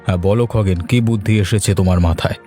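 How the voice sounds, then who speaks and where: A man's voice: sits low, steady tone, fast, warm tone, soft background music, lightly acted. A man playing an envious, calculating rich merchant villain in a cartoon story. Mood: scheming. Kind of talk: Bengali cartoon story acting.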